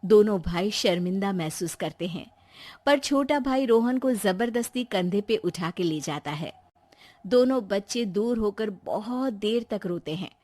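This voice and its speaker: A woman's voice: mid-range voice, lively intonation, measured pace, dark tone. A woman narrating a scripted moral family story as a storyteller.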